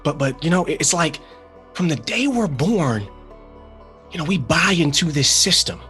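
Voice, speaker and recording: A male actor plays an earnest man recovering from gambling, worn down and ready to take a risk. He speaks in halting, confessional bursts with a very mobile pitch. It is dramatic table-read acting. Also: animated, fairly bright tone, faint music behind. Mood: earnest, indignant.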